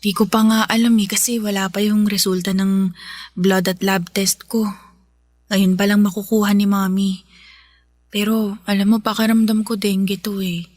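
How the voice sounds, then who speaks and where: A woman playing a young office worker, weak and feverish in a hospital bed, talking softly and politely in a radio drama. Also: medium pitch, little pitch movement, normal speed, no room sound, studio quality, natural voice. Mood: tired. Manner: tired, soft, polite.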